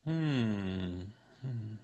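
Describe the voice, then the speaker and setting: Old-time radio mystery visitor: husky, animated intonation, polite and probing, deliberate, a needling threat underneath. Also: male voice, fairly low pitch, highs cut off, full character voice. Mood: musing, needling. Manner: deliberate, musing with frequent hums, needling. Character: polite, probing, quietly menacing male visitor.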